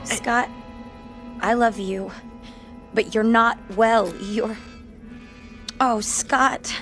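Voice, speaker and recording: A woman's voice: fairly high pitch, fairly even intonation, drawn-out words, a bit bright, soft background music. An actress playing a frightened, tender young woman with an anxious, pleading manner in a radio drama. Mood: tender, worried. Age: young adult.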